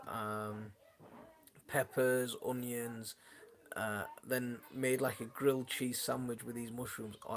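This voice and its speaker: Gravelly-voiced man, going hoarse. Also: mid-range voice. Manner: rambling, many restarts, chatty and friendly toward viewers. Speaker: gardening channel host. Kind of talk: casual live-stream chat answering viewers. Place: his home, indoors. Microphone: phone.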